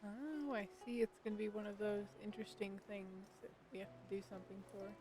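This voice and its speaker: A woman's voice: medium pitch, fairly quick, rough recording. A woman streaming a video game, giving casual, wry commentary and thinking aloud as she plays. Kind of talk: casual live gameplay commentary.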